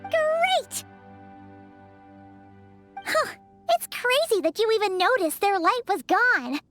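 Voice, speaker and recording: An actress playing a tiny, excitable fairy-like companion who talks about herself in the third person, bubbly and boastful. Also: female voice, slow, soft background music, heavily acted. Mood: chatty. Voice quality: very high and chirpy. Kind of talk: video game voice acting.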